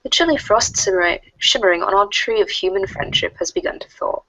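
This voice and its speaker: Woman acting a husky, formal alien troll schemer. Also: medium pitch, expressive, pushed and bright, no room sound, poor audio. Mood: smug, formal. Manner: formal, verbose, deliberate. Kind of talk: readers theater of web comic chat logs.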